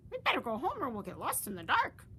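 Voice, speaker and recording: Cautious young bird, slightly raised pitch, picture-book voice, as a woman reads it. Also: wildly swooping pitch, fast, pushed and bright, a little hiss or hum, heavily acted.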